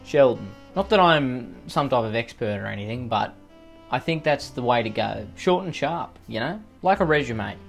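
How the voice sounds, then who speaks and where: A man's voice: medium pitch, animated, moderate pace, vocal fry. An Australian man reads online posts in an ordinary mid-range voice and keeps breaking off into sweary, sarcastic tangents. His talk rambles and circles back on itself as he riffs for laughs. A faint guitar plays behind him. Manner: profane, rambling, sarcastic asides. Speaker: YouTuber reading and reacting to online posts. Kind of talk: comedic commentary on read-out posts.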